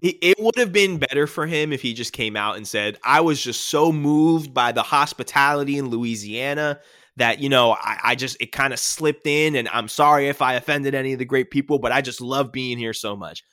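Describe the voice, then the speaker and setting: Male co-host, bright punchy voice, playful banter, dry sound. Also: mid-range voice, no echo. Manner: emphatic, playful, quick banter. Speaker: podcast co-host. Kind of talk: casual sports podcast chat.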